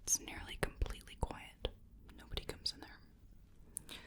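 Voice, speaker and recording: A woman playing a bookish, polite cryptid researcher in a soft-spoken ASMR roleplay, breathy and largely whispered, gently musing to herself close to the microphone. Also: measured pace, lightly acted. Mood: wistful.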